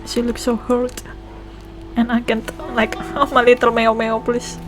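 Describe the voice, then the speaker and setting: A woman's voice: fairly high pitch, completely flat delivery, unhurried, music in the background, rough recording. A young Indonesian woman with an Indonesian accent, giving casual reaction commentary on a game, thinking aloud and chatting as she goes. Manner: chatty, thinks aloud, switches between languages. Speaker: gaming reaction vlogger.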